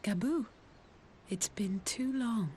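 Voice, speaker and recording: A woman's voice: fairly high pitch, takes it slowly, mellow, slight background noise. A young woman playing an earnest, heartfelt young heroine who grieves for her fallen friends in a video game cutscene. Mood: tender, subdued.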